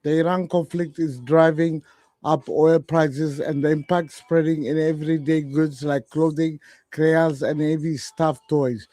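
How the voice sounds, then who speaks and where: A man in his forties hosting live trading radio, reading out earnings numbers slowly and haltingly. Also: a little high, fairly even intonation, warm tone, no echo. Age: 40s. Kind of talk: live market commentary.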